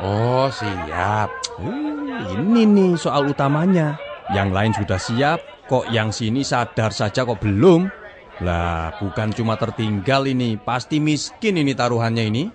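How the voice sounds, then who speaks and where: A lively, grumbling, cheeky man. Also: medium pitch, unhurried, crowd noise. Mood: flustered. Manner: complaining, jokey. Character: grumbling, cheeky, reluctant working man in a community group. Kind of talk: comic radio drama dialogue.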